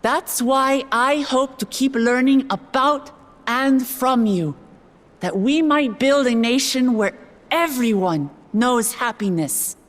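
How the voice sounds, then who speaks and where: An actress playing an earnest, warm-hearted young woman who has just become ruler, delivering a heartfelt ascension speech in a video game cutscene. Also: sits high, slow pace. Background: orchestral game music.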